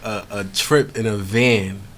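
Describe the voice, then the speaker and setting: A man's voice: fairly low pitch, steady tone, slow, fairly bright tone. A man working as a radio interviewer, asking casual questions in a studio. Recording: radio studio with clear background noise.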